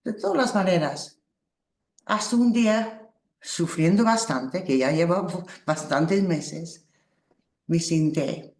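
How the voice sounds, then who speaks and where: Slow, reflective woman, Spanish. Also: lowish voice, a little mellow. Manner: slow, halting, reflective, with small laughs. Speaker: workshop teacher. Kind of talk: spiritual workshop talk. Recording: roomy echo.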